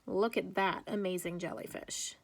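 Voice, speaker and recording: A woman teacher reading aloud to young children with chatty asides, speaking deliberately and putting questions to her listeners in an encouraging way. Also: fairly low pitch, steady tone, vocal fry, slight background noise. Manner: slow, deliberate, emphatic when reading aloud.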